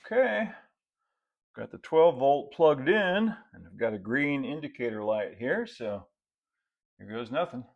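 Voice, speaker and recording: A man's voice: mid-range voice, sing-song, unhurried, vocal fry, muffled recording. A man in his fifties hosting a hands-on workshop tutorial in a casual, folksy, easygoing way, explaining things step by step. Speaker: host of a model railway channel. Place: indoor hobby workshop.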